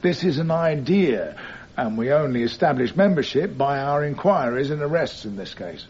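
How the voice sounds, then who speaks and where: A man's voice: medium pitch, expressive, moderate pace, a little mellow, close to an everyday voice. Man playing a guarded police commander, dull recording. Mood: guarded, explanatory. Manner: terse, formal, careful answers. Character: stolid, guarded senior police commander in the witness box. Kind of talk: radio courtroom drama acting. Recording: slightly muffled, noisy radio drama recording.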